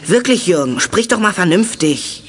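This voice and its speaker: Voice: mid-range voice, big pitch swings, moderate pace, close to an everyday voice. An actor playing a businesslike, impatient boy detective, emphatic and slightly pompous, in a children's radio play. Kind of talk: 1980s German children's radio play acting.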